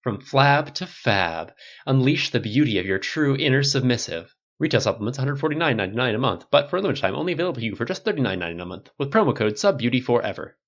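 A man voicing a slick male infomercial announcer who stretches out his sales pitch. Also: expressive, quick pace, highs cut off. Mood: salesy.

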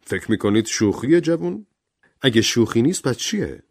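A man performs a shrewd judge in an audio story. He is composed and authoritative, putting his questions in a measured way. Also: fairly low pitch, wildly swooping pitch, a little mellow, no room sound, clean studio sound, voice pushed up, exaggerated voice. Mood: surprised, probing.

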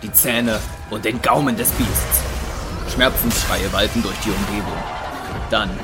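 Male narrator for audio drama, lowish voice, scripted storytelling over prominent music. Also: fairly even intonation, unhurried.

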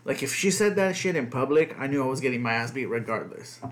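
A man's voice: medium pitch, lively intonation, fast. A young man, a dad who grew up speaking Spanish first, chatting loosely and cursing a lot on a podcast. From United States. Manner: profane, rambling, heavy on fillers. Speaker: podcast co-host. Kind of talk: casual podcast chat.

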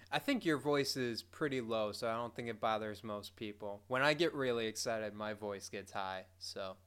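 A man's voice: fairly low pitch, moderate pace, fairly bright tone, a little hiss or hum. A man, co-hosting a podcast in casual chat, dry and laughing often. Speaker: podcast co-host.

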